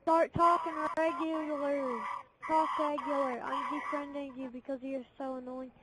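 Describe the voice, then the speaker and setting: A woman's voice: sits high, flat delivery, a little slow. A woman chatting casually and bluntly in an online game party, scolding other players over a noisy headset connection. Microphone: game console party chat.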